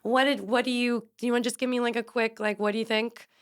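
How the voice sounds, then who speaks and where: A woman's voice: on the high side, level tone, fast talker, clean tone. A woman hosting a casual podcast interview, trailing off and restarting her sentences. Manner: hesitant, restarts sentences, laughs lightly. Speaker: podcast host.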